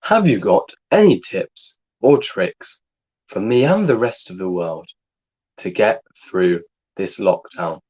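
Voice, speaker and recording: A young man with a fairly low voice co-hosts a relaxed livestream chat. He speaks calmly and deliberately, giving steady advice. The audio is dull and lo-fi. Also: breathy edge. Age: young adult. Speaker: co-host.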